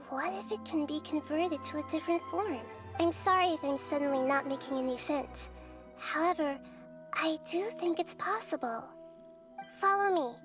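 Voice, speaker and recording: A woman playing a wise, childlike young goddess in a video game, gentle, composed and thoughtful. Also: high voice. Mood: pondering. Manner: thoughtful, reassuring, explanatory. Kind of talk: scripted game dialogue.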